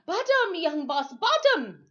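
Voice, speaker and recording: A woman's voice: very high voice, sing-song, slow pace, a bit bright, highs cut off, pitched above the performer's own voice, full character voice. A woman voicing a hearty, kindly older sailor from a storybook, speaking emphatic dialect with a lilt. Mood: excited, hearty. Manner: emphatic, exclamatory dialect speech. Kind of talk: storybook character read aloud.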